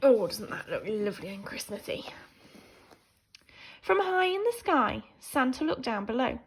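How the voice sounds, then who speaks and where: A woman teacher reading a children's picture book aloud in an animated, theatrical way, swinging between hushed and emphatic delivery. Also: on the high side, unhurried, a little hiss or hum. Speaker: primary school teacher reading a story.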